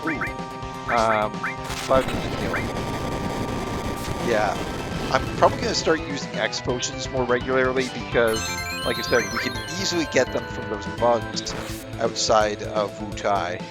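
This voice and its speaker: A man with a croaky voice, giving relaxed, rambling commentary on a game as its host. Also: medium pitch, measured pace, loud music behind the voice. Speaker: let's play host. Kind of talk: casual gameplay commentary.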